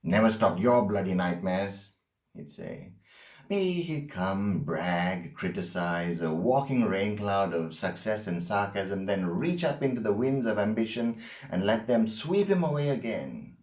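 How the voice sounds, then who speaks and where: Casting: male actor for unsettling, stammering male confessor in a dramatic monologue, low-voiced, recorded in an echoing room. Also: sing-song, a little mellow. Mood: animated, wry. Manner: halting, stammering, intense storytelling. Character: Brocky, unsettling haunted man confessing his troubled loves.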